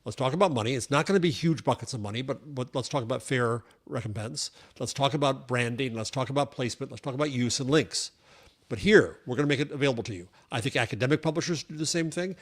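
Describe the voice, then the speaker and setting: An older man speaking as a guest journalist on a podcast, laying out an argument in a thoughtful, conversational way. He often restarts his phrases mid-thought. His voice sits at an ordinary male pitch. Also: fast. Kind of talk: casual interview chat.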